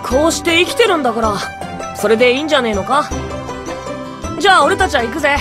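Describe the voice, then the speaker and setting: An actor voicing a carefree boyish knight captain in an animated fantasy game, breezy, casual and a little bossy. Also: male voice, very high-pitched, fast talker, over music.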